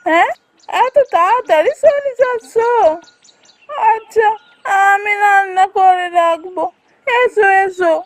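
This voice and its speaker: A woman's voice: extremely high pitch, slow pace, long pauses. A woman playing a lazy, hungry, whiny village wife in a fairy-tale cartoon. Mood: surprised, coaxing. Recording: music behind the voice.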